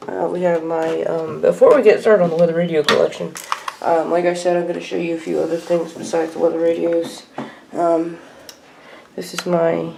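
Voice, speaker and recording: A woman giving a casual, unscripted show-and-tell at home in a halting way, as a hobbyist vlogger. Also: lowish voice, vocal fry, darkish tone. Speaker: weather radio hobbyist vlogger. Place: indoor room at home. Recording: noisy homemade video with clicks.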